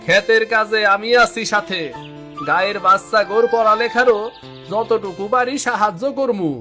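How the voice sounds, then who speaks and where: Guy doing a humble pleading village man for a kids cartoon, rural bangladeshi bengali accent, sharp bright voice, bit of music behind. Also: sits high, fairly even intonation, moderate pace. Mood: earnest, resolved. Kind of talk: children's cartoon fairy-tale dialogue.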